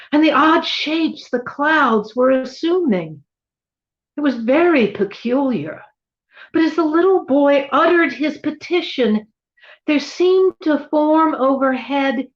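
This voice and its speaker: Female reader, higher-pitched, deliberate theatrical storytelling of a classic spooky story, slightly dull recording. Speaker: reader at a live library event of dramatic readings. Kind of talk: dramatic reading of a literary horror tale. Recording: echoey, dull-sounding room recording.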